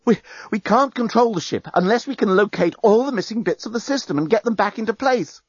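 Man playing a frantic, high-pitched journalist. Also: a little fast, husky, dry recording, dull audio. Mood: breathless alarm. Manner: panting, stammering, panicky. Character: frantic, breathless male journalist in a space crisis.

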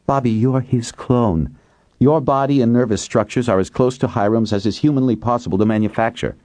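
A man's voice: medium pitch, warm tone, no room sound, pitched above the performer's own voice, natural voice. A man voicing an earnest, accusing young woman in an audiobook, pointed and insistent. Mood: grave, insistent.

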